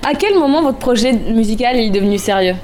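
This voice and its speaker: A woman's voice: on the high side, lively intonation, brisk, darkish tone. A woman interviewing musicians on the radio, asking polite questions and laughing easily.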